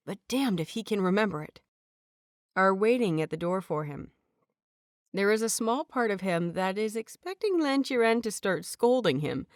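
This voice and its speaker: Expressive woman narrating a fanfic audiobook. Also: medium pitch, no echo, studio quality. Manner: expressive, playful inner-monologue delivery. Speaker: audiobook reader.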